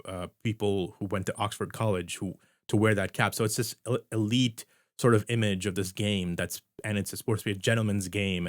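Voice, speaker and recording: A man's voice: fairly low pitch, dry recording, studio quality. A man from India with an American accent, giving casual, rambling commentary full of fillers and restarts. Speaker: video essayist.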